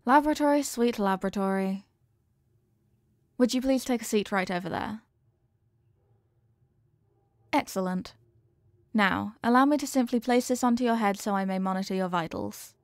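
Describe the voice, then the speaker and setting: A woman's voice: medium pitch, brisk, no room sound, studio quality, lightly acted. A woman playing an earnest, formal young scientist who is fond of her test subject, in a scripted roleplay with precise, clinical wording. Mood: polite, businesslike. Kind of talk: scripted roleplay addressed to the listener.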